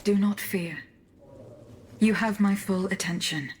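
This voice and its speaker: A woman's voice: mid-range voice, unhurried, a little hiss or hum, natural voice. A woman voicing a reserved, determined female automaton warrior in a video game, formal and terse. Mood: composed, resolute. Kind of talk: video game cutscene acting.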